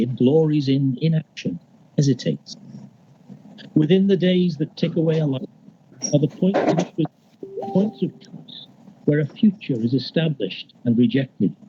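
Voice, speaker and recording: A man from Liverpool with a Liverpool accent, a poet telling the story behind his poem and then reading it on a video call, reflective and unhurried. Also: on the high side, lo-fi sound, a little hiss or hum. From Liverpool, England.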